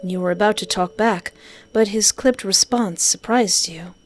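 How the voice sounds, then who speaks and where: A middle-aged woman streaming a game, reading its story aloud as expressive narration with casual asides. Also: medium pitch, measured pace. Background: game music.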